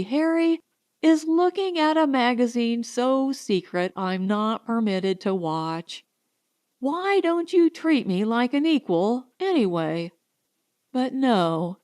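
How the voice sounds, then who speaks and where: A woman's voice: on the high side, a little mellow. A woman voicing a resentful, challenging young colonist wife in a story. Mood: indignant, challenging. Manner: taunting, challenging.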